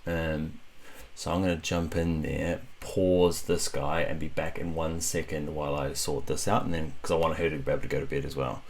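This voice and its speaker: A man with a deep voice, talking softly at home at night through a casual tutorial, rambling with many fillers. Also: flat delivery, moderate pace, a little hiss or hum. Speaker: web developer. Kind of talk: casual screen-recorded tutorial. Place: home at night while family sleeps. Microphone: computer mic while screen recording.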